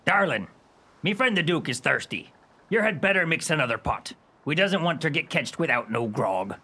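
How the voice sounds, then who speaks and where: Raspy, animated one-eyed pirate. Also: male voice, a little high, moderate pace, exaggerated voice. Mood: demanding. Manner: broad pirate dialect, theatrical. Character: one-eyed male pirate, bloodthirsty yet sentimental. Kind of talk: comic radio play acting.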